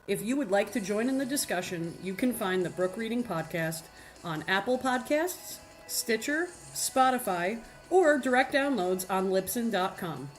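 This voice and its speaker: A woman's voice: medium pitch, lively intonation, moderate pace, faint music behind, rough recording. A woman reading a scripted promo as a podcast host.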